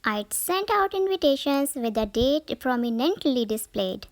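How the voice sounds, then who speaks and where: A woman reading a children's picture book aloud as a storyteller, with expressive, careful phrasing. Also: high-pitched, unhurried, no echo.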